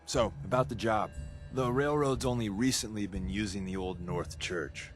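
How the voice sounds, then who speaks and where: A wisecracking resistance spy from a video game, played by a man, with a fairly low voice. He talks casually and glibly, as if letting a friend in on a secret. Faint music sits behind him. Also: normal speed, natural voice. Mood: breezy, confiding. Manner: glib, casual, confiding.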